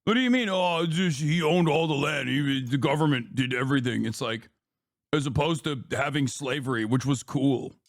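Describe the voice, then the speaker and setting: A man streaming and reacting to a podcast clip, profane and mocking, getting heated and loud. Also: medium pitch, normal speed, dry recording, studio quality. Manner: profane, mocking, shouting in outrage. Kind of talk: heated live-stream commentary.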